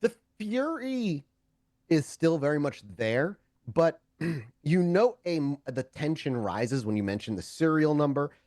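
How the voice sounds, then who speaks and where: A man's voice: fairly high pitch, measured pace, no echo, clean studio sound. A man narrating a tabletop game as game master, improvising with plenty of profanity. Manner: profane, animated storytelling.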